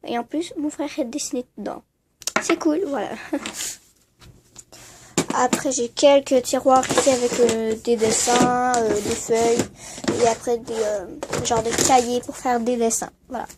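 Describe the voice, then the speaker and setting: High-voiced girl with a cold. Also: steady tone, unhurried, heavy background noise. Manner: hesitant, many fillers and trailing voilà. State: stuffy with a cold. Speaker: vlogger. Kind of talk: casual room-tour vlog. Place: bedroom at home.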